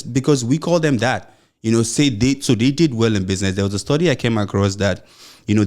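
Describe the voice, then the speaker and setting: An earnest young man from Nigeria talks straight to his podcast listeners, his Nigerian accent clear and his speaking voice sitting fairly low. A mild stammer comes through now and then, and his sentences run loose and encouraging, strung together with "you know" and "like.". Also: darkish tone. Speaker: podcast host. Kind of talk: solo motivational podcast talk.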